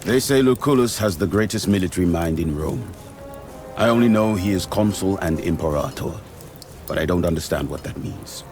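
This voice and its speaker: Deep-voiced, grim gladiator. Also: male voice, lively intonation, normal speed, darkish tone, faint music behind. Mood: stoic. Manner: terse, solemn. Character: grim, blunt Mauretanian gladiator, proud loner. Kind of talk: scripted game dialogue.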